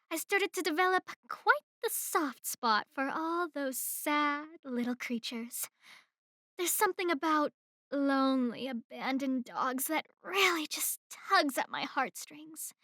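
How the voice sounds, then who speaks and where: Slow, high-voiced haughty female boss played by an actress. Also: bright, forceful tone, dry recording, clean studio sound, heavily acted. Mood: amused, patronizing. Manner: condescending, deliberate, mocking. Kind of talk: ASMR roleplay acting. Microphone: close microphone in a dry room.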